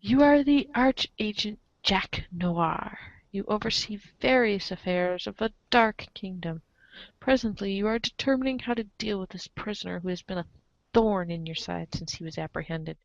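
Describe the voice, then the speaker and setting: A woman's voice: medium pitch, normal speed, vocal fry, no room sound. Female narrator for a comic read-aloud, wry and theatrical, mid-range voice, slightly muffled dry recording. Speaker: narrator reading a webcomic aloud. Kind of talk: dramatic scripted narration with casual asides.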